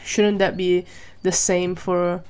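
A woman from Malaysia talking through her opinions in a casual solo monologue. She thinks out loud, asks herself questions and keeps checking in with "right?" and "you know?". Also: lowish voice, level tone, slow pace, clean tone. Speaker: vlogger.

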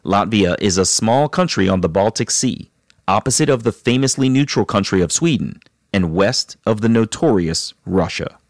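A man hosting an interview show, narrating scripted introductions in a measured, calm way and interviewing his guest. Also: fairly low pitch, dry recording, clean studio sound. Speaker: podcast host.

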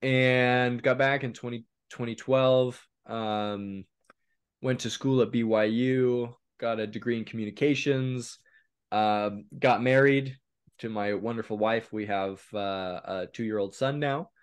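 A man in his thirties from eastern Oregon, a guest on a podcast, talking in a relaxed, halting way with many pauses and a voice a little raspy from a cold. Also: mid-range voice. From eastern Oregon, USA. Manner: relaxed, hesitant with frequent ums. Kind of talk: casual interview chat.

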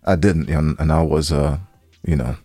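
An American man co-hosting a podcast, chatting casually with plenty of slang and free swearing, animated when he tells a story. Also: rumbling low, moderate pace, no room sound, faint music behind. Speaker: podcast co-host.

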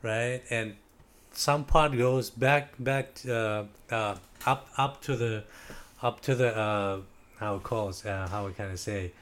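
A man with a Russian accent, a guest in a casual chat, speaking in halting English and searching for words. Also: lowish voice, fairly even intonation, a bit bright.

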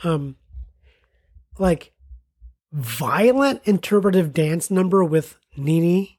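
Lower-voiced woman chatting about movies. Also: animated, takes it slowly, darkish tone. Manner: chatty, searches for words with many likes. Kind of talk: casual film discussion.

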